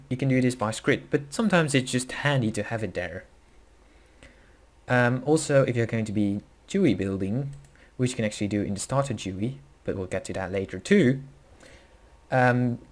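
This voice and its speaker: A man's voice: fairly low pitch, lively intonation, normal speed. Dutch-accented man explaining casually. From Netherlands. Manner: casual, hesitant, many fillers and self-corrections. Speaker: tutorial host. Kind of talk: screen-recorded coding tutorial.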